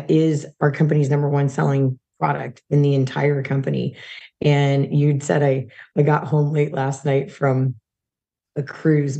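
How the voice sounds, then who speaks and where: A hoarse woman in her thirties or forties, a guest chatting in a rambling way about her work in sales. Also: sits low, dark tone. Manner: chatty, rambling, many restarts. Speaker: guest, supplement company sales rep. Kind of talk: casual interview chat.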